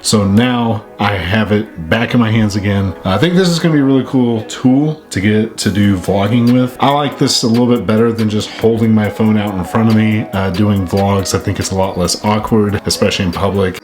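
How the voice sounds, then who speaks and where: A man's voice: on the low side, moderate pace, few pauses. A young man with a congested, stuffy voice, chatting casually to camera in a vlog, with frequent ums and uhs. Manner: chatty, self-narrating, frequent uh and um. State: congested from a sinus infection. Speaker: sneaker bot vlogger.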